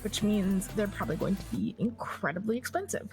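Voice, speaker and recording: A woman talking casually with a friend during an online roleplay game, in a relaxed, matter-of-fact way. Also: mid-range voice, brisk, soft background music, rough recording. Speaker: fellow player.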